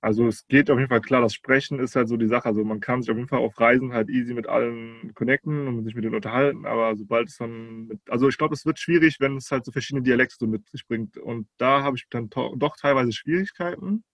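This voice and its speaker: A young man talks casually about himself, loose and slangy, sometimes hesitant. His voice sits at an ordinary pitch. The sound is dry, with no room echo. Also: quick pace, few pauses, a bit bright. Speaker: prospective doctoral candidate. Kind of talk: casual conversation.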